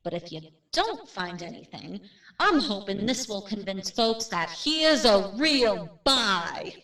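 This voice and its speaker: A woman playing a brisk, matter-of-fact real estate agent in a radio drama, plain-spoken and without superstition. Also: medium pitch, sing-song, a bit bright, distant mic. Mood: brisk, dismissive. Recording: roomy, off-mic drama recording.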